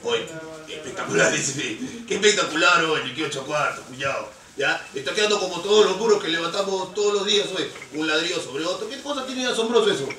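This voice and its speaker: A man's voice: fairly high pitch, expressive, brisk, pushed and bright. A man voicing a fed-up, slangy bricklayer puppet with a Peruvian accent in a live puppet show, complaining in an exaggerated streetwise way. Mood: grumbling. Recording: echoey open shed, distant mic, music.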